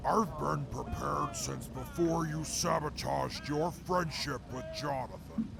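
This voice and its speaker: A man voices a haughty, mocking male sidekick in a wasteland audio drama. He talks slowly in a heavy gravelly creak, formal and wordy, with music underneath. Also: fairly high pitch, full character voice. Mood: smug, gloating. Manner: pompous, formal, drawn-out, verbose.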